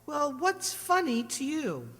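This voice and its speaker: Reverberation from a hall surrounds a woman acting a wisecracking wife in radio comedy. She is breezy and teasing, with a high, swooping voice and slow, drawn-out comic timing. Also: wildly swooping pitch, a bit bright, heavily acted. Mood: curious, wry. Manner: playful, teasing. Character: breezy, wisecracking wife posing for her portrait. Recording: reverberant hall stage recording.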